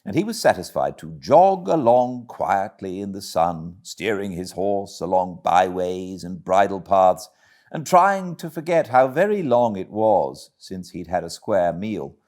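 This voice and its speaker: A British man narrating a classic storybook as an audiobook reader, with expressive delivery. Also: deep voice. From Britain.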